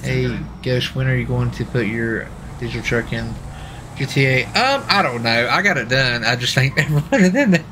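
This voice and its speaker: A man's voice: medium pitch, expressive, normal speed, background noise. A man chatting casually in folksy, colloquial phrasing while roleplaying a lawman in a video game. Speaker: streamer playing a US marshal in GTA roleplay.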